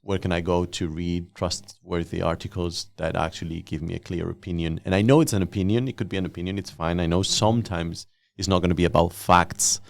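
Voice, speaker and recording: A man hosting a podcast and interviewing guests in a casual, conversational way, with a gravelly voice. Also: low voice, steady tone, fairly quick, hardly any pauses.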